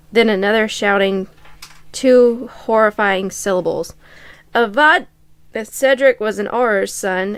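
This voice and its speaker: Clear, smooth-voiced woman reading on a podcast. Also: mid-range voice, no echo. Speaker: podcast co-host. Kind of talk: reading fan fiction aloud.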